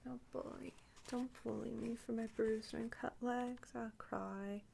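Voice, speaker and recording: A woman rambling softly and in whispers as an ASMR host, with a cutesy, coaxing, playfully teasing manner. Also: fairly high pitch, fairly even intonation, a little slow, creaky. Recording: faint background noise.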